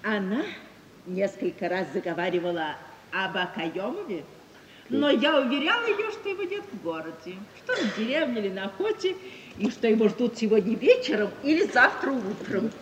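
An actress playing a shrewd, warm-hearted provincial gentlewoman in an expressive, emphatic 1950s Russian radio theatre style. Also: a little high, moderate pace, a little hiss or hum. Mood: confiding. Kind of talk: mid-century Russian radio theatre acting. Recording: 1950s radio play, distant, echoey hall.

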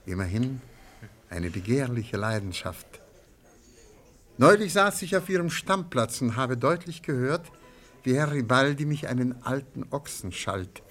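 Elderly, courteous, shrewd gentleman. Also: mid-range voice, animated, faint music behind. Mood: courteous, sly. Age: older. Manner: formal, measured, politely ornate. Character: elderly, courteous, shrewd antiques dealer and astrologer. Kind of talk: German radio play acting.

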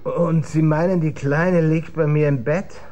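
An actor playing a hungover, bitter, cynical gentleman with a sardonic and weary manner in a German radio drama. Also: a little high, steady tone, measured pace, close to an everyday voice.

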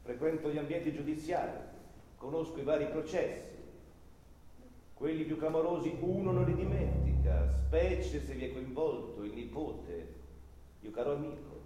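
A man's voice: a little high, animated, moderate pace, dark tone, lightly acted. An actor playing a sick, self-important old lawyer in a radio play, speaking effusively and lingering on his words. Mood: proud, defensive. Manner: pompous, effusive, dwelling on words. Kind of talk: radio play stage acting. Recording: very echoey hall, long reverb, faint noise.

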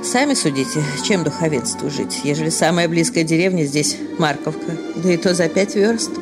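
A plaintive village sexton's wife, music behind. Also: female voice, deep voice, animated, normal speed. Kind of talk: Russian radio play of a classic story.

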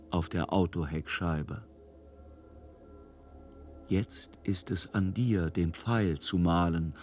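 A man with a fairly low voice reads poetic, scripted prose. He takes his time, phrasing each piece slowly and deliberately. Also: a little hiss or hum. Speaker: reader of a literary text. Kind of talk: scripted poetic first-person narration.

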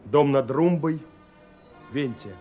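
A man's voice: medium pitch, slow, close to an everyday voice. An actor playing a romantic, dreamy young man who speaks his love letters in a lyrical, intimate radio play monologue. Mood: excited. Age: young adult. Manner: lyrical, intimate, swinging between hushed and exclaiming. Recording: slightly husky-sounding 1979 radio recording. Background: soft music.

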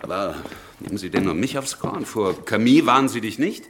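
A man playing a worldly, blunt revolutionary statesman in a radio drama, condescending and swinging from quiet to forceful. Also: lowish voice, lively intonation, fairly quick, background noise, lightly acted. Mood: indignant.

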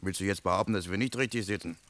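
An actor playing a grumbling, bossy lost soldier in a radio play, with coarse, needling talk. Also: male voice, low-pitched, racing, fairly bright tone. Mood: insistent. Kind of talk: German radio play dialogue.

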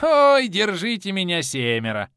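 Cackling mad god, very high and loud, manic, gloating, dry close sound. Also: male voice, wildly swooping pitch, a little slow, clear, resonant tone, dry recording, heavily acted. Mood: mocking. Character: mad cackling male deity, gleeful and childish.

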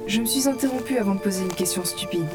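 A woman playing a curious adventurer telling her own fantasy tale as scripted audio fiction narration. Her pitch is medium, and loud music runs beneath her voice. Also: fast, natural voice. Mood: composed narration. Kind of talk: scripted first-person narration.

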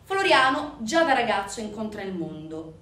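A woman performing a dramatic scripted theatre narration, telling the story emphatically with deliberate pauses and repetitions. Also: mid-range voice, very animated, a bit bright. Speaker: stage actress performing a theatre monologue. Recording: echoey room from a distance, faint noise.

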